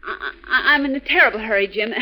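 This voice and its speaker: A woman playing a brisk, headstrong newspaper reporter in urgent, clipped 1940s radio drama style. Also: fairly high pitch, sharp tone, low-quality recording. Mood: hurried, urgent.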